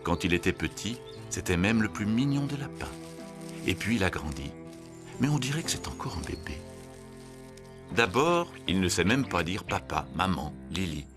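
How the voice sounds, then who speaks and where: A man narrating a scripted children's story in an expressive, storytelling way. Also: deep voice, normal speed, music in the background.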